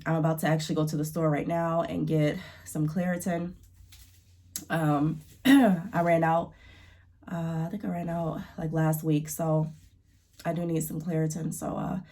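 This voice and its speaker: A young woman with a congested, stuffy nose and a somewhat low voice talks straight to her viewers. She gives business tips in a chatty, encouraging way and fills her sentences with plenty of ums. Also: fairly even intonation, measured pace, mellow. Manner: chatty, filler-heavy, encouraging. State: congested from allergies. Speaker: YouTube business vlogger. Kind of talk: casual advice talk to camera.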